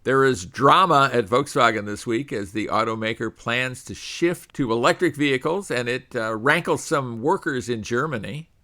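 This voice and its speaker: Male radio host, middle-aged, bright forceful tone, conversational with uh fillers, studio mic. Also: medium pitch, normal speed, few pauses. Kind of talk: radio talk show hosting.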